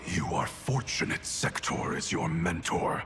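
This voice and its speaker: A man's voice: sing-song, sharp tone, a little hiss or hum, full character voice. An actor playing a stern, ruthless male clan grandmaster who speaks in a cold, commanding way. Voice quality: gravelly, low and measured. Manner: cold, authoritative. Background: cinematic music.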